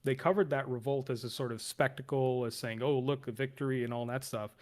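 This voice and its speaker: A man's voice: medium pitch, steady tone, brisk, a little mellow. Man explaining politics on a casual livestream. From northern India.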